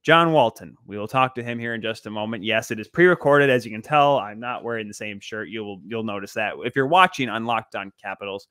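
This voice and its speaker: A man's voice: fairly low pitch, a little fast, hardly any pauses, a bit bright, clean tone, no echo, studio quality. A man hosting a sports podcast and interview in an emphatic, showy announcer-like manner. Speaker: podcast host.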